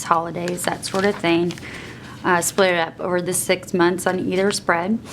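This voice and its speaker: Brief: young adult woman, congested from a cold, lowish voice, chatty, self-deprecating, light hiss behind. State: stuffed up with a cold. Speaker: teacher and bullet journal vlogger. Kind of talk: casual flip-through narration. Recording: faint background noise.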